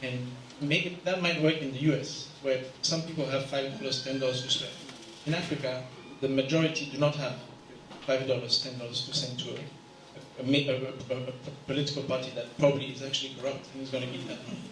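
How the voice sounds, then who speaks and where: A man's voice: mid-range voice, steady tone, fairly bright tone, slight background noise. Man from Kenya, echoey hall. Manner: measured, explanatory, with fillers. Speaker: audience member asking a question. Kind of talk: round table question from the floor. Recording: echoey room, picked up from a distance.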